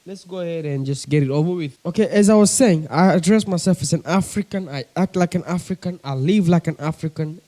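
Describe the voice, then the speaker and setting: Chatty young Kenyan man, high mellow voice. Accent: coastal Kenyan. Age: young adult. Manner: chatty, keeps addressing viewers as guys. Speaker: pigeon-keeping vlogger. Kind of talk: casual chat to camera. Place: bedroom with a desk.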